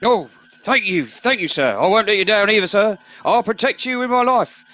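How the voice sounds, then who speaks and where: A man's voice: high-pitched, expressive, moderate pace, sharp tone. A man in an amateur comedy radio play playing an eager, muddled old corporal who rambles and repeats himself deferentially. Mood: eager, devoted.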